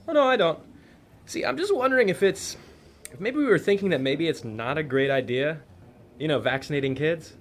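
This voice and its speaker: An actor as a mild, hesitant horseman of disease in a mockumentary radio comedy. The pitch swings widely as the polite words come out haltingly, over faint background noise. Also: expressive, close to an everyday voice. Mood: awkward, earnest.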